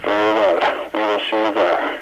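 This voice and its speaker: A man playing a secretive physics professor in a 1980s audio drama, speaking in a measured, formal and guarded way over the telephone. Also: lowish voice, steady tone, highs cut off, over music, lightly acted.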